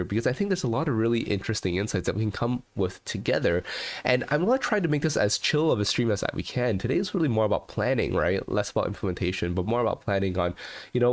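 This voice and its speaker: A man in his thirties, congested from a cold, chatting casually as host of a livestream, full of "you know" and "right?", from a living room with a webcam. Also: fairly low pitch. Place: living room with a red sofa, indoors.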